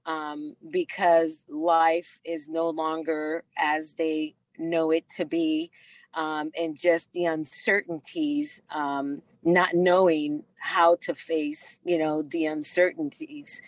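A woman's voice: sits low, flat delivery, no room sound. A middle-aged woman from the Bahamas, a doctor and author, telling her life story earnestly in an interview with many fillers. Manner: slow and earnest, frequent fillers.

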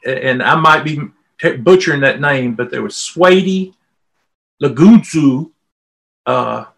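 A man's voice: mid-range voice, slow, vocal fry, a bit bright. A middle-aged man with a Southern US accent, telling personal stories in a folksy, conversational way as an interview guest. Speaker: volunteer interviewee.

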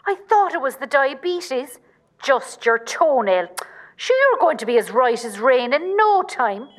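A woman's voice: high-pitched, very animated, unhurried. A woman playing a dramatic, exasperated but loving Irish daughter with a Cork accent in an amateur radio play. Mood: exasperated, anxious. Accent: Irish, County Cork.

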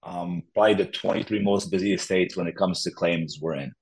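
A man's voice: deep voice, a little fast, breathy edge, poor audio. A man in his thirties from Poland, a guest talking casually with easy laughs and many fillers. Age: 30s. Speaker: guest, public adjuster. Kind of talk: casual interview talk.

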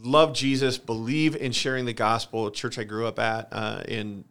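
A man's voice: medium pitch, little pitch movement, normal speed, a bit bright, smooth. A man in his forties with an American accent speaks as a guest in a church conversation. He sounds earnest and open, giving personal testimony and repeating himself to drive a point home. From Michigan, United States. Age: 40s. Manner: earnest, confessional, emphatic repetition.

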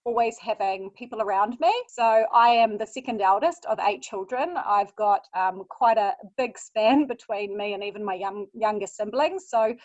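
A woman's voice: medium pitch, moderate pace, hardly any pauses, no room sound. A woman in her thirties with a New Zealand accent, a mindfulness coach chatting as a guest on a podcast. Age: 30s. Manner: warm, chatty storytelling with fillers. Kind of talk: podcast interview. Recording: dull, lo-fi sound.

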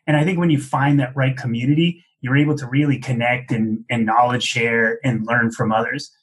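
A man's voice: mid-range voice, fairly even intonation, moderate pace. A man hosting a business podcast, asking friendly, long multi-part questions in a conversational interview. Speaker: podcast host.

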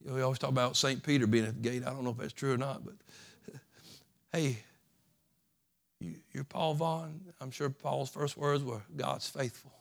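A man preaching a sermon as pastor, folksy and repetitive, building from soft talk to loud fervent preaching. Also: mid-range voice, fairly even intonation, moderate pace, vocal fry, long pauses. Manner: folksy, repeats phrases.

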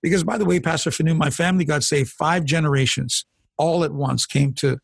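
A man's voice: fairly high pitch, fairly quick, creaky, husky, dry recording, studio quality. A middle-aged man, a pastor, earnestly telling his life story in a conversational interview, often restarting and repeating words as he goes. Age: 40s or older. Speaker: pastor and guest. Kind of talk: personal testimony in a conversational interview.

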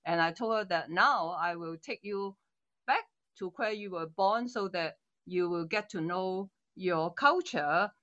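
A woman's voice: on the low side, measured pace, a bit bright, dull audio. A woman in her sixties from Hong Kong with a Cantonese accent, answering interview questions as a politician, thoughtful and full of fillers and restarts.

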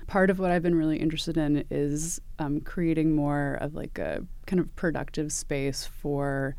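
A woman's voice: sits low, steady tone, normal speed, a little mellow, no echo. A woman from East Tennessee, an artist and academic advisor, answering interview questions thoughtfully with frequent ums and restarts. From East Tennessee, USA.

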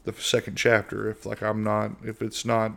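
Low gravelly monotone American man. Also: moderate pace. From United States. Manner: rambling, with frequent fillers and restarts. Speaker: podcast host. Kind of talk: casual solo podcast monologue.

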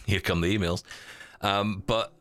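A man with a very low-pitched voice talks in a dry, close recording. He is a podcast co-host in reflective, casual chat, carefully qualifying his points and rephrasing as he goes. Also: steady tone, takes it slowly, a bit bright, no room sound. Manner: hedging, careful, self-correcting.

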